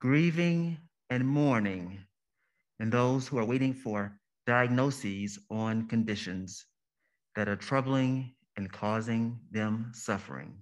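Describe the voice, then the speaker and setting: Slow-spoken man leading a prayer. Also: mid-range voice. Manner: deliberate, measured phrasing with pauses. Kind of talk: solemn congregational prayer.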